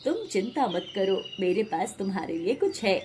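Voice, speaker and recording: A woman playing a calm, generous king in an animated moral story, speaking with dignified reassurance. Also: medium pitch, moderate pace, a little hiss or hum, voice pushed down, close to an everyday voice. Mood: grateful, reassuring.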